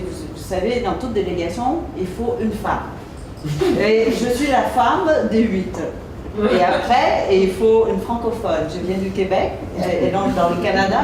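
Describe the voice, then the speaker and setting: A woman from Vietnam, telling a self-mocking story on a conference panel, lively and often laughing, with many restarts. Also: mid-range voice. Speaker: panelist at a literary roundtable. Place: conference hall. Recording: echoey hall from far off, noisy.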